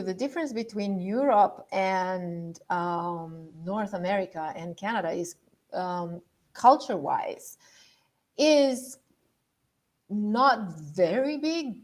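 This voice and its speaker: Slow-talking Romanian-born woman coach. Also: medium pitch, darkish tone. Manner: conversational, thinking aloud with fillers. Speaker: leadership coach. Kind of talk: online masterclass presentation. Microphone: video call.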